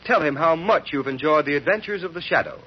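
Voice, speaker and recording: A man's voice: a little high, animated, quick pace, husky. An American man announcing formally in the style of 1930s radio. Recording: dull, muffled old radio recording.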